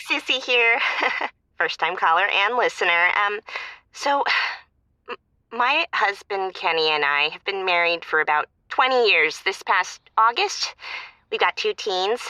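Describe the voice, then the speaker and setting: Bright, gossipy middle-aged caller. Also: female voice, mid-range voice, dry recording, full character voice. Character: chatty married bagel-shop owner, mother of teens, calling in. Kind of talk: comedic audio drama acting.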